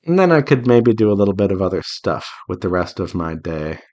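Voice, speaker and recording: Low-voiced man chatting with lively pitch swings. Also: brisk, muffled recording. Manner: rambling, thinking aloud, self-reassuring. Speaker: visual novel developer hosting a draw-along devlog. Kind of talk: casual solo chat while drawing.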